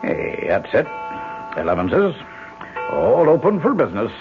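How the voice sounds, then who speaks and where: An actor playing a jovial, chatty village pub landlord with a British accent in a radio mystery. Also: male voice, mid-range voice, huge pitch swings, measured pace, music in the background. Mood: cheery. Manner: folksy, garrulous, chuckling. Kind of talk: radio mystery drama acting. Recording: tinny, narrow-band old radio broadcast.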